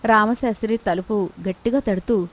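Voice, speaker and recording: A woman's voice: mid-range voice, moderate pace, a little hiss or hum. A woman storyteller reading a scripted moral tale as narration.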